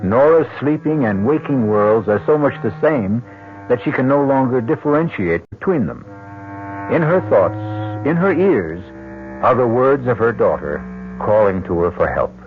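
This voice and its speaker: Casting: man for grave mystery storyteller, deliberate and expressive, tinny old radio recording, music underneath. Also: mid-range voice, close to an everyday voice. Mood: ominous. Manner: deliberate, dramatic pauses. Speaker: narrator and host. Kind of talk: old-time radio scripted narration. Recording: thin, narrow-band old radio recording.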